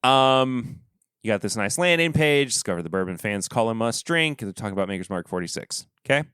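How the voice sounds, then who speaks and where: A man hosting a podcast, talking casually and rambling in a relaxed way, with a stuffy nose. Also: lowish voice, a little fast, clear, resonant tone, no echo, studio quality. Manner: relaxed, rambling, trailing off quietly. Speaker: podcast host. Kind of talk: casual solo review chat.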